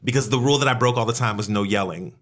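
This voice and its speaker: A man co-hosting a teacher podcast over a video call, talking loudly and animatedly into a desk mic with frequent laughs. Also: lowish voice, racing. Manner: loud, animated, laughs often. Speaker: podcast co-host, former teacher. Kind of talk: casual video-call chat. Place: home room with lamp and plants.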